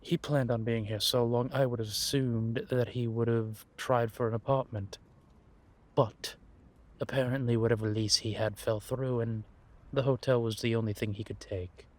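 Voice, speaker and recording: A man playing a world-weary, wry and menacing vampire intelligence operative in an audio roleplay, confiding in a measured, drawn-out way. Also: medium pitch, fairly even intonation, a little mellow, natural voice. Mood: wry, amused. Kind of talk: roleplay audio drama monologue addressed to a listener.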